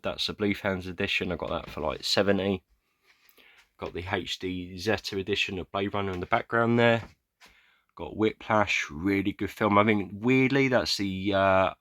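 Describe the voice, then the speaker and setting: A British man giving a rambling, casual tour of his film shelves, hesitant with many fillers, clearing his throat often as his voice goes hoarse. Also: deep voice, fairly bright tone, dry recording. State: going hoarse, losing his voice. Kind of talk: casual room tour vlog.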